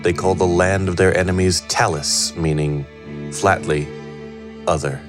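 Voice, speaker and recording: A man performing measured, solemn scripted narration of fantasy lore. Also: low-pitched, steady tone. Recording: music behind the narration.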